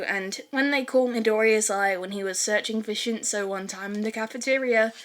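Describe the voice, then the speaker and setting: A woman reading fan fiction aloud as a scripted story narration. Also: mid-range voice.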